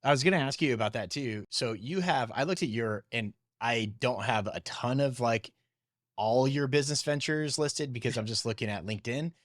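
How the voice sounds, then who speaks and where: Man, podcast host, mid-pitched, informal, curious, dry recording. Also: normal speed, no echo. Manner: informal, curious, asks follow-up questions. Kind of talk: casual chat, interviewing.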